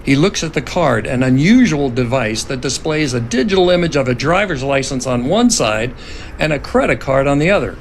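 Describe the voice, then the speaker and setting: A man with a gravelly voice reading scene directions as narrator of a dramatic script reading. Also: big pitch swings, moderate pace. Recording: noticeable background rumble.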